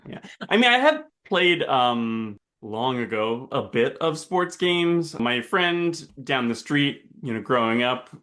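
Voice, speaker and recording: A man chatting casually as a podcast panelist, speaking in a slightly halting, hesitant way. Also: mid-range voice, big pitch swings, fairly bright tone. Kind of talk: casual chat.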